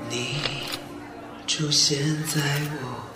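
A man's voice: medium pitch, steady tone, extremely slow. A man singing a pop ballad. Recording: echoey, loud guitar backing.

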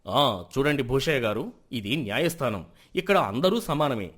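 A stern village elder sitting in judgement in a moral tale, played by a man. He speaks with measured authority and a widely moving intonation. He sounds fair and firm. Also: medium pitch, animated, a bit bright, husky. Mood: calm, firm. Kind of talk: dramatised moral story acting.

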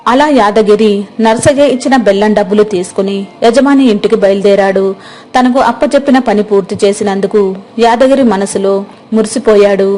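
A woman's voice: mid-range voice, a little fast, mellow. A woman narrating a scripted moral story as the storyteller.

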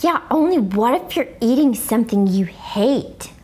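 A woman's voice: on the high side, expressive, unhurried, mellow, slightly rough. A woman voicing a mischievous little schoolgirl with a blunt, childlike manner in a storybook read-aloud. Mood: frank. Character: frank, mischievous little schoolgirl, quick to complain.

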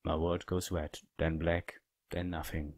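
A man reading a visual novel as a cold, calm young male killer, quiet, dramatic and subdued. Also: very deep voice, unhurried, slight background noise, voice pushed down. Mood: cold, resigned.

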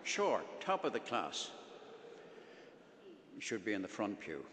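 A man's voice: fairly low pitch, wildly swooping pitch, measured pace, fairly bright tone. A man, a priest, reading the gospel and giving a conversational homily, joking with the congregation. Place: large church. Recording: echoey church with long reverb.

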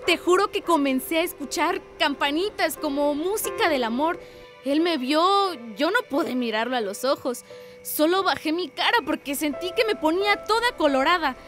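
An extremely high, girlish voice for a radio drama. She is a lovestruck teenager who gushes to her friend in a breathless, effusive way. Music plays behind her. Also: lively intonation, moderate pace. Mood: swooning, bashful.

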